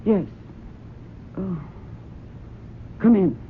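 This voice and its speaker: A woman's voice: mid-range voice, lively intonation, extremely slow. An actress playing an anxious, guilt-ridden young woman in old-style radio drama, tense and dramatic. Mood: guarded, tense. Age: young adult. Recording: tinny, noisy radio drama recording.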